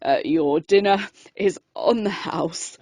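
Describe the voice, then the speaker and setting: A meek, flustered chip shop man from a children's storybook , acted by a woman. The voice drops low and stumbles hesitantly through its words, timid throughout. The sound is dry and close. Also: slow, a little mellow, dry recording.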